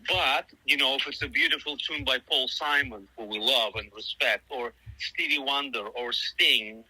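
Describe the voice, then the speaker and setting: Bright-voiced man with a Russian first language. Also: mid-range voice, big pitch swings, moderate pace, creaky, slightly rough, no room sound, poor audio. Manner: thoughtful, rambling, many fillers and restarts. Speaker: musician guest. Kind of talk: casual interview answers.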